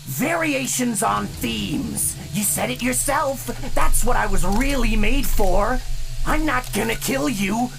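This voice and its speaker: An actor playing a resentful, menacing artificial mind in an audio drama, with a theatrical, taunting delivery. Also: male voice, very high-pitched, takes it slowly, vocal fry, over music, full character voice. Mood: menacing, grandiose.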